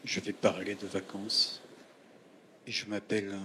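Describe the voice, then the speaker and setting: Man reciting poetry, even pitch, noisy stage. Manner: incantatory, short repeated phrases with pauses. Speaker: poet reading own work on stage. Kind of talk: performed poetry reading. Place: theatre stage at a festival. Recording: noisy live stage recording with ambience.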